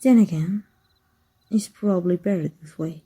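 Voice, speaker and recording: A woman playing an exiled evil magic teacher in an ASMR roleplay, wry and fond, speaking softly and intimately with a husky, breathy voice. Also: fairly low pitch. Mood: fond, regretful. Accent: Mexican. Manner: wry, unhurried, intimate, addressing a former student.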